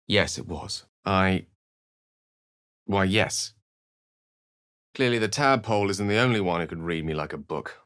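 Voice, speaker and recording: A man's voice: deep voice, unhurried, pauses often, fairly bright tone, smooth, no room sound, clean studio sound. A man voicing an eloquent, bookish, theatrical wizard in a video game, speaking with refined and wry wording. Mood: measured, alert. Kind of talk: video game character dialogue and narration.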